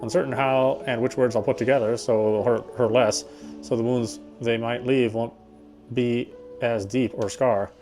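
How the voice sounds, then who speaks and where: A man's voice: medium pitch, fairly even intonation, moderate pace, a little mellow. A man reading a visual novel's narration aloud expressively, sometimes stumbling and going back over a phrase. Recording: game music behind the voice.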